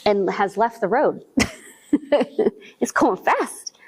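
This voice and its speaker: A woman's voice: mid-range voice, slightly rough, rough recording. A woman reading a picture book aloud to young children at a library storytime, asking them questions as she goes. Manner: slow, animated, speaking to young children with questions.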